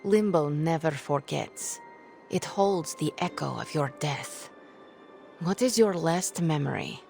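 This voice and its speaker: A woman's voice: sits low, slow, gravelly, darkish tone, soft background music, heavily acted. An actress playing a stern, impatient young sorceress mentor in a video game, formal and imperious in her speech.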